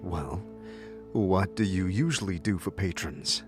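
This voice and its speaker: A man plays a battle-scarred immortal wanderer in a fantasy audio drama. The voice is deep and unhurried. He is reserved and halting, answering in plain words. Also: lively intonation, music in the background. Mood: hesitant, reserved. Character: hesitant, heavily scarred immortal male wanderer. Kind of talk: audio drama character acting.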